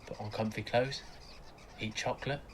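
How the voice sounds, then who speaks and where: A man's voice: lowish voice, steady tone, moderate pace, close to an everyday voice. Weary young dad, noisy surroundings man acting. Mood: low, deflated. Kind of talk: naturalistic audio drama acting.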